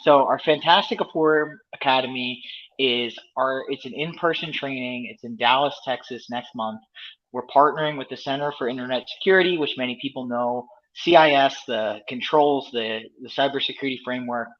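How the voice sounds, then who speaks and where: A man's voice: mid-range voice, fairly quick. A man leading the company behind the event, talking enthusiastically and repeating words while he thinks, as a guest on a video call. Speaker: company leader, event co-host. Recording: dull lo-fi audio.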